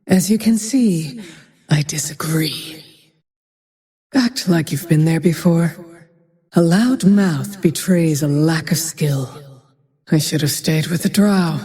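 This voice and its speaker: An actress playing a cold, bitter, vengeful female archer in a video game, breathy and husky, with a grim, disdainful, deliberate delivery. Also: low-pitched, warm tone, full character voice. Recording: long cavernous reverb.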